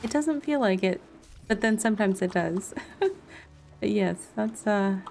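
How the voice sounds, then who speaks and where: A woman's voice: medium pitch, lively intonation, measured pace, vocal fry, a little mellow, faint music behind. A woman chatting casually and informally as she livestreams a video game, laughing easily. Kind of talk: casual livestream gameplay chat.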